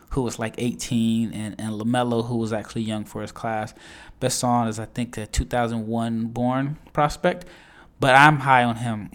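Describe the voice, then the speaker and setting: A man talks through basketball draft picks at an even middle pitch. His delivery is chatty and enthusiastic. He often restarts his sentences as he goes. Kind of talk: solo mock draft breakdown.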